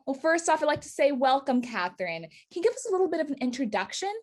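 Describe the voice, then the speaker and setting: A young woman presents a student news show with scripted lines. Her voice is on the high side and swings in a lively way as she reads. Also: fast talker. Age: young adult. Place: remote, on a video call.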